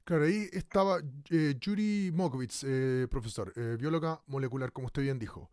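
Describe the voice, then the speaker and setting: An actor playing a radio co-host who speaks hesitantly, with many pauses. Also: male voice, mid-range voice, a little mellow, clean tone, no room sound, lightly acted. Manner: hesitant, full of "eh". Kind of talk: radio drama acting.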